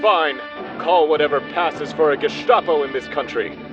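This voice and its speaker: A man's voice: a little high, moderate pace, close to an everyday voice. A man playing a pompous, brazen secret son of a dictator in a melodramatic comedy radio play. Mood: defiant. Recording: dull lo-fi with music.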